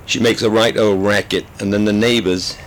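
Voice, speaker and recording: A man's voice: lowish voice, steady tone, moderate pace, lightly acted, poor audio. A man voicing a sheepish inmate. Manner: humble, sheepish. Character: meek hapless middle-aged male inmate.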